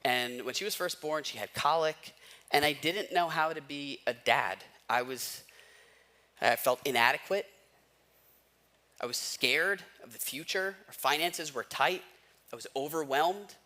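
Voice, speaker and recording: An American man in his thirties speaks as a pastor in a casual, conversational style. His voice sits a little high for a man and is very bright and forceful. He uses everyday slang and puts questions to his listeners as he tells personal stories. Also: measured pace. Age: 30s. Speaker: pastor preaching.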